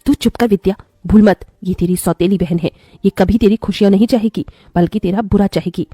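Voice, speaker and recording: A woman's voice: medium pitch, fairly quick, dark tone, no room sound, natural voice. A woman voicing a spiteful, haughty middle-aged stepmother who taunts and scolds in a cartoon story. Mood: scolding.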